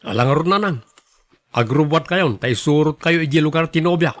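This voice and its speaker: Guy acting as a young son in a radio drama, kinda high voice, earnest, polite, pleading, gets embarrassed. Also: normal speed, a bit bright, lightly acted. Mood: coaxing. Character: earnest, devoted young man, embarrassed by his mother.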